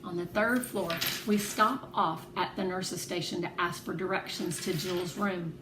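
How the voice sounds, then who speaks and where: A woman reading a children's novel aloud as an expressive, animated narrator. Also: lowish voice, normal speed. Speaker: read-aloud host. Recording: echoey room with clear background noise.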